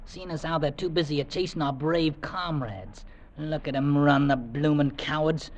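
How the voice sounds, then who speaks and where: An actor playing a cocky, sharp-tongued young fife player in the army with a Cockney accent, in an old-time radio drama. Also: male voice, on the high side, normal speed, natural voice. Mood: cocky, scornful. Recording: vintage radio recording.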